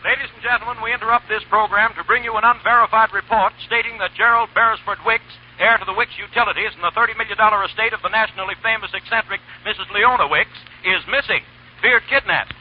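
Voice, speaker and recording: Actor as an urgent bulletin announcer, brisk and high, lo-fi old broadcast. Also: male voice, steady tone, slight background noise, lightly acted. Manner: brisk, formal bulletin delivery. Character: urgent radio news announcer. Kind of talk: 1930s radio drama acting. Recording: dull old radio broadcast recording.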